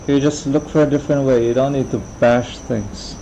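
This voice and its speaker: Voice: medium pitch, steady tone, moderate pace, mellow, highs cut off, slight background noise. A live streamer of indeterminate sex giving casual commentary while playing a video game. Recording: game music behind the voice.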